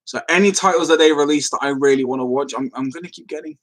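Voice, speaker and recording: A man's voice: on the high side, fast talker. A man hosting a casual live stream, rambling as he replies to comments and easily amused. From London, England.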